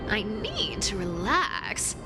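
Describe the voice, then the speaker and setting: Sly, animated treasure-hunting boss. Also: female voice, medium pitch, slow pace, music in the background. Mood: pensive. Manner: wry, bossy, drawling. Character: ancient sly female treasure-hunting boss, brusque and playful. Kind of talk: video game voice acting. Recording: noisy game audio.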